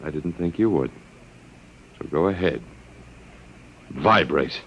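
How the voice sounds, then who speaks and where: A man's voice: a bass voice, animated, slow, a bit bright, slightly rough, full character voice, low-quality recording. A man playing a stubborn captive in a 1950s radio drama, speaking with dry, sardonic wit. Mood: wry.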